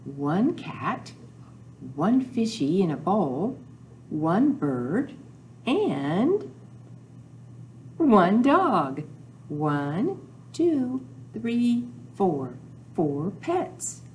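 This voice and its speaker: Older woman leading storytime, very slow and lively. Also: mid-range voice, slight background noise. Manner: simple, repetitive, sing-song address to young children. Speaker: children's librarian, storytime host. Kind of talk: children's storytime with rhymes, counting and songs. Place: small indoor room with whiteboard.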